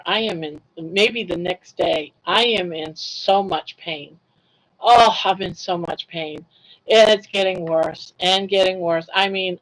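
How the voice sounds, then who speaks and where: A woman's voice: on the low side, poor audio. Chatty older woman laughing through stories. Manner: chatty, laughs often, reads chat comments aloud. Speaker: livestream host. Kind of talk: casual livestream storytelling.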